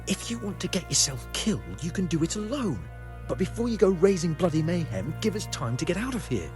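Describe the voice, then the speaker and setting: An actor playing a grumbling, sarcastic nobleman on a raid in a medieval video game, exasperated and quick to swear. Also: high-pitched, big pitch swings, darkish tone, faint music behind. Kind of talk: dramatic video game cutscene acting.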